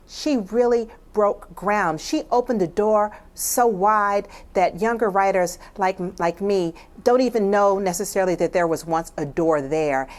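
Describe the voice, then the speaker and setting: A woman's voice: mid-range voice, a little mellow. A woman with an American accent speaking as a writer and guest in a thoughtful broadcast discussion.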